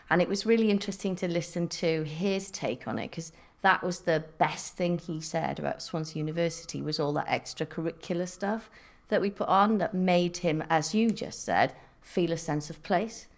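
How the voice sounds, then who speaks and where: A woman co-hosting a podcast, responding warmly and sharing an anecdote. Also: low voice. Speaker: podcast co-host. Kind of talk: interviewing.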